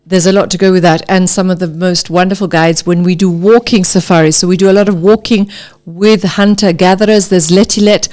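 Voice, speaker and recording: A woman, speaking as an interview guest and safari guide, telling stories lively enthusiasm. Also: lowish voice, a little fast, few pauses. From Tanzania. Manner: enthusiastic, animated storytelling. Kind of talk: casual interview talk.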